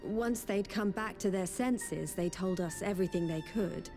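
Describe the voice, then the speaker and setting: Lady voicing a serious fantasy game adventurer, soft dark tone, steady delivery, faint music behind. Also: medium pitch, lightly acted. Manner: measured, serious. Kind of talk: fantasy video game voice acting. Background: orchestral game music with strings.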